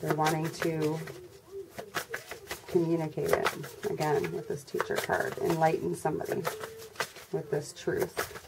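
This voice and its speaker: Woman, tarot reader, deep pitch, congested, monotone, casual, noisy recording with birds behind. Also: unhurried, long pauses. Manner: monotone, low-energy delivery. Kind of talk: casual tarot reading for viewers. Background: birds and background noise.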